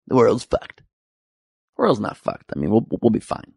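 A man's voice: lowish voice, huge pitch swings, normal speed, vocal fry, a little mellow, husky, no echo, clean studio sound. A young man giving a comedic, profane monologue about the news with joking asides. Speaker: comedy commentary host.

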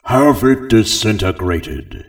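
A man voicing a huge, arrogant male slug crime lord in a deep, slow, booming voice. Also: very animated, gravelly, darkish tone, far from the mic, exaggerated voice. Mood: furious.

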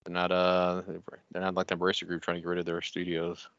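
Fast, low-voiced man, bright and forceful. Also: monotone, clear, resonant tone, dry recording, muffled recording. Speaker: podcast co-host. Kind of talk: casual gaming chat.

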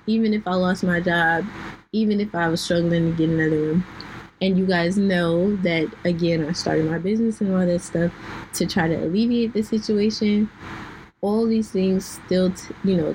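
Slightly hoarse young woman, candid. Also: on the low side, fairly even intonation, normal speed, a little mellow, low-quality recording. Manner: candid, earnest, many fillers. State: losing her voice, clearing throat. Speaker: podcast host. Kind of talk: personal talk to listeners. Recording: faint background noise.

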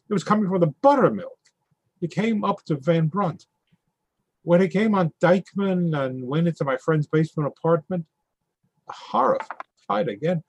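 A man's voice: fairly high pitch, slightly rough, low-quality recording. A man reading a monologue, giving a detailed street-by-street account of watching a flood. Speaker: reader performing a monologue. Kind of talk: readers theatre monologue.

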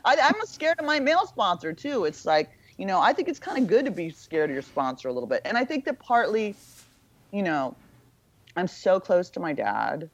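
A woman with a low voice, a podcast guest talking in a frank, irreverent, self-mocking way. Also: very animated, moderate pace, no echo. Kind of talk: candid casual conversation. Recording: dull lo-fi sound.

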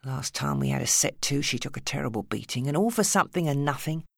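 A woman's voice: very deep voice, animated, fast, vocal fry, no room sound, studio quality, full character voice. A woman voicing a smug, bullying male club boss in an audiobook, sneering and contemptuous. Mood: self-pitying, contemptuous. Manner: sneering, contemptuous, hissing when angered. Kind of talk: audiobook character acting.

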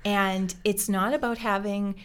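A woman's voice: mid-range voice, steady tone, measured pace, a bit bright, clear, resonant tone. A woman with a Boston-area accent, hosting a podcast and chatting in a reflective, storytelling way. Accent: Boston-area American. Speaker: podcast host. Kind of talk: casual chat.

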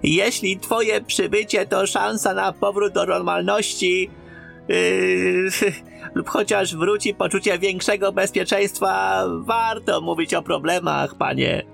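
A man acting an obsequious, gossiping innkeeper in a fantasy audio drama, with a fawning, halting manner. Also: high-pitched, steady tone, creaky, fairly bright tone, soft background music, heavily acted. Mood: humbly hopeful. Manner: fawning, halting, drawn-out delivery.

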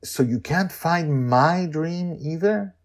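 A man voicing a shaken, earnest young boy in an audio story, with a halting, questioning manner. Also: fairly high pitch, voice pushed up. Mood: bewildered. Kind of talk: serialized audio story dialogue.

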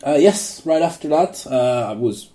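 Man from Uruguay, Spanish native speaker, very dark mellow voice, rambling with restarts, echoey room. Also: medium pitch, lively intonation, a little slow. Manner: rambling, frequent restarts and fillers. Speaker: guest. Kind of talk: relaxed interview answers.

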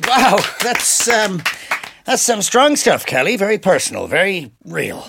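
A woman's voice: mid-range voice, unhurried, gravelly, heavy background noise. A woman playing a charismatic, coaxing poetry teacher in a radio drama. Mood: brisk, approving. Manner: animated, coaxing. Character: charismatic, unconventional, encouraging female poetry teacher. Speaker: poetry workshop leader.

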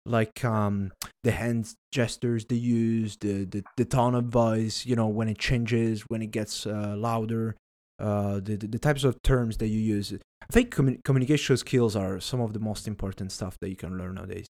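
A man's voice: fairly low pitch, steady tone, moderate pace, darkish tone. Italian-native man hosting a podcast. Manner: friendly, conversational, with fillers and laughs. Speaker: podcast host. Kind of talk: casual interview chat.

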